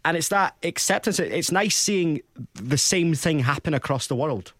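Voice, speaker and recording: A man's voice: fairly high pitch, expressive, normal speed, a bit bright, dry recording, clean studio sound. A Scottish man co-hosting a casual chat show, talking with chatty ease. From Scotland. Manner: chatty, uses Scottish words. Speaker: radio co-host.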